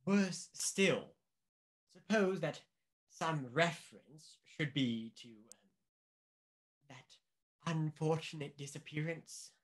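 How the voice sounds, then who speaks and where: Man playing a slow, pompous official. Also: fairly high pitch. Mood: alarmed, urgent. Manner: pompous, deliberate, emphatic. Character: pompous, anxious upper-class male government official, scheming and long-winded. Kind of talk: radio play mystery acting.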